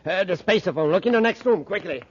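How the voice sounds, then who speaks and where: A man's voice: high voice, animated, quick pace, slight background noise. A man playing a menacing, impatient space gangster with an American accent in a 1950s radio serial. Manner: threatening, bossy. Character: menacing male gang boss's henchman, impatient schemer. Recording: dull, dry 1950s radio recording.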